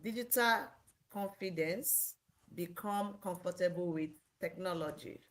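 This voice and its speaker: A woman from Nigeria co-presenting a live online talk over a video call, reading points in an earnest, steady way. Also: fairly low pitch, unhurried, rough recording.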